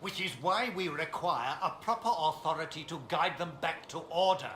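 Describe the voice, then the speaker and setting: A man's voice: on the high side, lively intonation, normal speed, fairly bright tone. An actor playing a pompous, scornful male cleric in a fantasy video game, argumentative and indignant. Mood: sneering challenge. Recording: echoey space, faint ambient noise.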